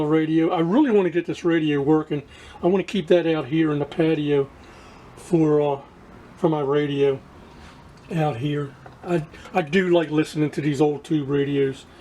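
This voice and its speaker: A man giving a casual hands-on demonstration to viewers as a hobbyist, haltingly searching for words and repeating himself. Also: on the high side, warm tone, a little hiss or hum. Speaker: radio restoration hobbyist presenting to viewers.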